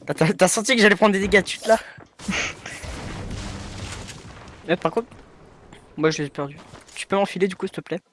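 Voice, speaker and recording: A man chatting casually during an online game, excitable and swearing, often stammering. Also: high voice, moderate pace, pauses often, a little hiss or hum. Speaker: gamer streaming a match. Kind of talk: casual gaming chat.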